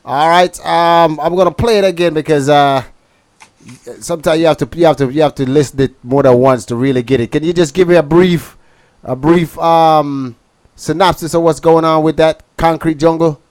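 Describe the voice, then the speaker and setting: A man's voice: a little high, steady tone, darkish tone, no room sound. Male live-show host, hesitant and full of fillers, dry close-miked sound. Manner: halting, many "uh" and repeated words. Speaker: radio host. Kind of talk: casual live interview.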